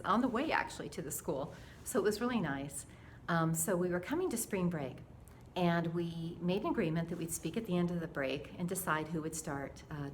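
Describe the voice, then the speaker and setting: Earnest, dramatic woman storyteller, noisy background. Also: lowish voice. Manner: earnest, dramatic, acts out dialogue. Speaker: storyteller sharing a personal faith testimony. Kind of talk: animated personal storytelling to camera.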